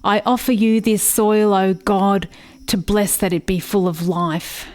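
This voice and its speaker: A woman acting in a radio play as a haunted, grieving daughter recalling a rural childhood in a measured, solemn monologue. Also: medium pitch, fairly even intonation, darkish tone, lightly acted. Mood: haunted, questioning. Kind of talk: reflective dramatic monologue.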